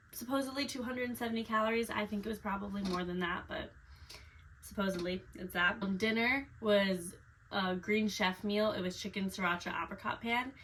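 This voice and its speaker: Bright, upbeat woman fitness vlogger. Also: medium pitch, a little hiss or hum, poor audio. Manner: upbeat, chatty, explains step by step; reads figures off a screen. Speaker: personal trainer and vlogger. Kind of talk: casual fitness vlog talk.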